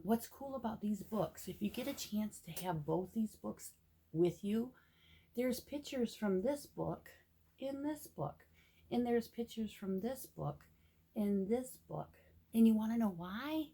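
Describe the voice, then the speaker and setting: A woman reading a picture book aloud to young children with gentle, expressive asides that sometimes drop into a hush. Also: medium pitch, breathy edge. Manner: gentle, expressive, often drops into hushed whispered asides.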